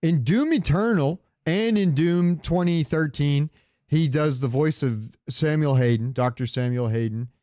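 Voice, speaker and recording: A man's voice: a little high, a little slow, mellow, dry recording. Sweary man chatting, close dry sound. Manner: sweary, rambling, jokey storytelling. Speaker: podcast co-host. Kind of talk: casual comedic chat.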